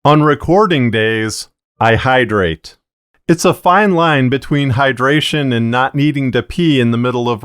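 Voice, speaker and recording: A middle-aged man narrating a scripted explainer to camera in a measured, deliberate way, close on a broadcast mic in a small treated booth. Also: medium pitch, fairly bright tone, clear, resonant tone, no room sound. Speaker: fiction podcaster and narrator. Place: small booth of acoustic blankets, indoors. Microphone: broadcast dynamic mic on boom arm, close.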